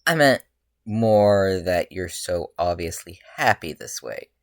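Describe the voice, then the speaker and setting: A low-voiced reader playing a tired middle-aged male hero, speaking in a flat, dry, grumbling way. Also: slow, dry recording, full character voice.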